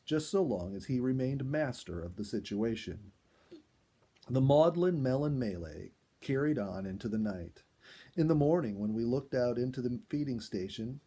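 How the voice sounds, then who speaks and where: A man reading a first-person animal story aloud in an expressive, dramatic storytelling style. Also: mid-range voice, husky. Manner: expressive, acts out dialogue and exclamations.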